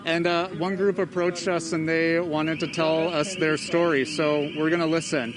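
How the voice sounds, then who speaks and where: A male TV news reporter doing live field reporting outdoors at a protest, asking measured, polite questions. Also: a little high, flat delivery, a crowd in the background. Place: outdoors at a night protest near a mall.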